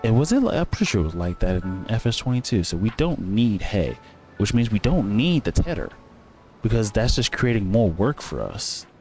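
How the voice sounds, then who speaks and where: A man with a fairly low voice talks through a game as he plays, thinking aloud, trailing off and restarting his sentences. Faint music runs underneath. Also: sing-song, normal speed, darkish tone. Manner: rambling, thinking aloud, self-correcting. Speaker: gaming streamer. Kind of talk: casual gameplay commentary.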